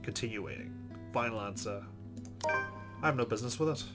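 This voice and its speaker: Male let's-play host with a low voice, narrating a visual novel over prominent music. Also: brisk. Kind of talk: narrated game playthrough with casual commentary.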